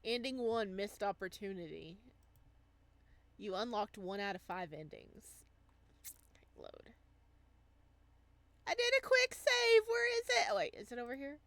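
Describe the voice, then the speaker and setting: A woman hosting a let's play, reading aloud and chatting casually with playful, excitable reactions. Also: fairly high pitch, measured pace, creaky, long pauses, no echo. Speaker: gaming channel host.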